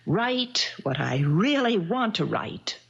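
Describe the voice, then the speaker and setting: An actress in a 1970s radio drama playing a middle-aged betrayed wife and novelist, composed, articulate and cutting. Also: female voice, mid-range voice, sing-song, slow pace, gravelly, slightly rough, lo-fi sound, full character voice. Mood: scornful, resolute. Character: betrayed older wife, novelist, coolly sardonic.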